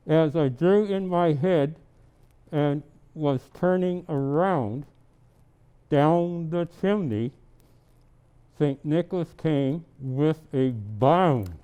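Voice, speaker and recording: A man playing a jolly, kindly old Santa Claus, reading from a storybook slowly and deliberately with chatty asides. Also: a little high, mellow, clean tone. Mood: animated storytelling. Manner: slow, deliberate, kindly, grandfatherly.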